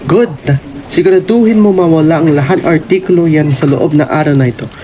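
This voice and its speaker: A man's voice: fairly high pitch, brisk, warm tone, a little hiss or hum, natural voice. A man playing a ruthless, arrogant middle-aged governor in a radio drama, imperious and menacing, mixing in English. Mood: cold, threatening.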